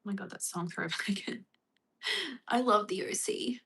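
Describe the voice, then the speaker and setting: A woman's voice: mid-range voice, normal speed, gravelly, a bit bright, husky. An Australian woman chatting casually on a livestream, reading and answering viewer comments. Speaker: livestream host.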